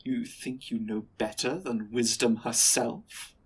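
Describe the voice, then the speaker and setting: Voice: medium pitch, steady tone, slow pace, breathy edge, rough recording. An actor playing an imperious, condescending superior in a sci-fi audio drama, speaking in a formal, commanding, disdainful way. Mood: angry, scornful. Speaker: guest voice actor. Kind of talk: sci-fi audio roleplay acting.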